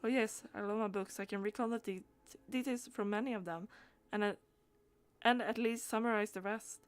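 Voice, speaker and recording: A woman's voice: medium pitch, fairly even intonation, moderate pace, natural voice. A woman reading out a wordy, bookish game character who proudly loves old lore, declaiming with the odd stumble. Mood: delighted, proud.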